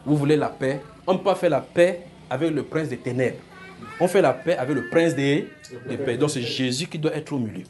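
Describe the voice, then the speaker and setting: A man's voice: on the high side, brisk, a little mellow. A man preaching a sermon in a church service, earnest and insistent, often repeating himself.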